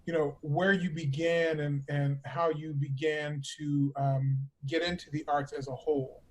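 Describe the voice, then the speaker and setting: A man's voice: on the high side, level tone, measured pace. An American man hosting a conversational interview, attentive to his guest and feeling his way through questions. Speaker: interviewer, host.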